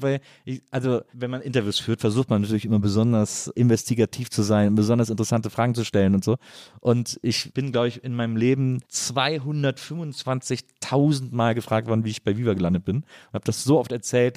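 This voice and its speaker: A man's voice: mid-range voice, fairly even intonation, a little fast, darkish tone, dry recording, studio quality. A man hosting a casual interview, talking in a colloquial, rambling way. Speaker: podcast host, interviewer.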